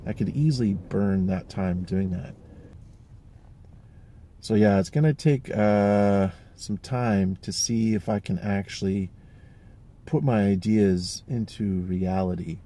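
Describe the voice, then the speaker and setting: Gravelly-voiced man in his forties musing. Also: fairly low pitch, slow pace, warm tone. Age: 40s. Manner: rambling, thoughtful, frequent fillers and restarts. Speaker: vlogger. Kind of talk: casual reflective monologue.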